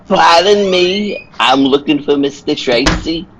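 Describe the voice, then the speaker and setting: A man playing a sad, quietly intense circus clown in an old-time radio play, husky and solemn in a deliberate way. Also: fairly high pitch, noisy background. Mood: meek.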